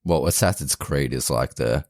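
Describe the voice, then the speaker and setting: A man's voice: very deep voice, moderate pace, darkish tone, no echo, studio quality. A man co-hosting a podcast, chatting easily about movies with some hesitant restarts. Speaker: podcast co-host. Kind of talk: casual movie review chat.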